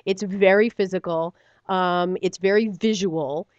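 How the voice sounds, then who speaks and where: A woman's voice: medium pitch, fairly even intonation, a little slow, clean tone, no echo, lo-fi sound. A middle-aged woman, a stage actor, chatting animatedly in an interview, laughing often and using many fillers. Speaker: stage actor and theater company member. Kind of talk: radio interview, casual chat.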